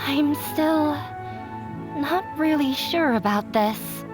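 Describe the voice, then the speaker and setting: Shy, slow-spoken game character. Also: female voice, high voice, music in the background. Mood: anxious. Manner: hesitant, timid. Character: shy, self-doubting young theater participant, hesitant. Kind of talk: video game voice acting.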